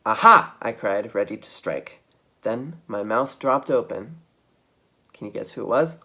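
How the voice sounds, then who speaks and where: Expressive man reading a children's story. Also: fairly low pitch, unhurried, slightly rough. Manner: dramatic, expressive delivery. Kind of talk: animated read-aloud of a children's book.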